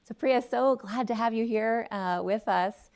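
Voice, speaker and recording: Gravelly-voiced woman interviewing on stage. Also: a little high, unhurried. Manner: warm, hesitant, many ums and uhs. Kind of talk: onstage interview, reading a book passage aloud. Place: seminary event room before a live audience.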